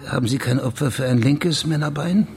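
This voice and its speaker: A man acting a dry, sceptical high police official in a German radio crime drama. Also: fairly quick, gravelly, darkish tone, slight background noise. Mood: sober, businesslike. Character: sardonic high police official on a secret mission.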